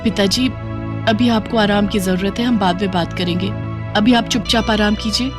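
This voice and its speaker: A selfless young daughter-in-law , played by a woman, speaks with earnest, pleading care. It is an animated moral-story performance, with music clearly playing underneath. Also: mid-range voice, normal speed, close to an everyday voice. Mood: gentle, dutiful.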